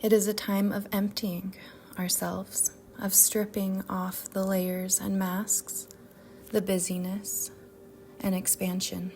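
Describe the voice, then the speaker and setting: A woman guiding a meditation in a soft, whispery-edged voice, speaking gently and soothingly with long pauses. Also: medium pitch, little pitch movement, slight background noise. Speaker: yoga nidra meditation guide. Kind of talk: guided meditation narration. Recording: soft music bed behind voice. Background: music.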